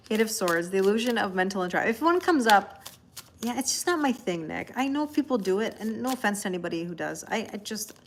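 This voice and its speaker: A woman's voice: medium pitch, a little hiss or hum. Chatty woman livestreaming tarot. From Canada. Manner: chatty and rambling, laughs often, answers viewers by name. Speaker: tarot reader and livestream host. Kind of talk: casual livestream chat.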